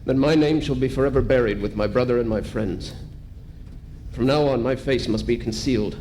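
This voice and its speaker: An actor playing a resolute, vengeful western lawman, earnest and deliberate, in old radio style. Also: medium pitch, mellow, lightly acted. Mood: solemn resolve. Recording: faint noise of old radio recording.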